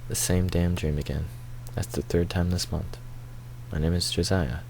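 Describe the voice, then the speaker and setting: A man's voice: sits low, steady tone, moderate pace, vocal fry, darkish tone, slight background noise, poor audio. A man reading the part of a troubled married photographer in his thirties, in subdued first-person visual novel narration. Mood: brooding, subdued. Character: troubled thirty-two-year-old married male photographer, brooding narrator.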